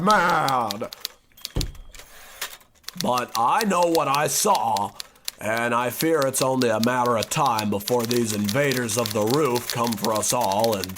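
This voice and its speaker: Man as a slow, melodramatic gentleman narrator. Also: mid-range voice, background noise, lightly acted. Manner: pompous, theatrical. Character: panicky, melodramatic well-to-do young gentleman narrator. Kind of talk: comedic old-time radio horror narration.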